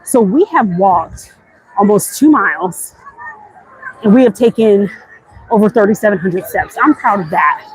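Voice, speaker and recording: A woman's voice: medium pitch, expressive, a little slow, pauses often, rough recording. An American woman hosting a casual walking livestream, chatty and enthusiastic, slangy in the way she addresses viewers. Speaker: vlog host. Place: walking outdoors in a busy theme park. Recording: live outdoors with clear background noise. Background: theme park ambience.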